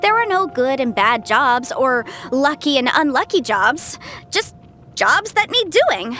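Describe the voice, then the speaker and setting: Video game casting: bright, bookish young legal adviser, high-pitched, very expressive swings, confident and chatty. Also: female voice, unhurried, soft background music, heavily acted. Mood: matter-of-fact. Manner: articulate, expressive, self-assured, slightly bookish. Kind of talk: scripted game character voice lines.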